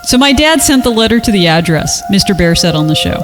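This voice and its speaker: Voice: medium pitch, very animated, fast, over music. A person reading an amateur horror story aloud and joking along in an irreverent manner. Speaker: podcast reader.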